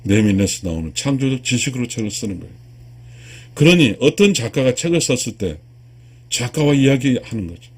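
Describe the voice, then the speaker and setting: Husky man in his sixties, lecturing emphatically. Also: medium pitch, very animated, a little fast. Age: 60s. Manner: earnest, emphatic, repeats and restarts key phrases. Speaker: lecturer, video channel host. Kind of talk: spiritual lecture addressed to viewers.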